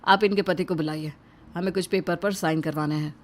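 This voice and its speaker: This is a woman playing a stern man of authority in an Indian moral story. The medium-pitched voice is firm and admonishing, with dramatic storybook delivery. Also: steady tone, a little fast, close to an everyday voice. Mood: grave. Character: stern male authority: doctor and household patriarch.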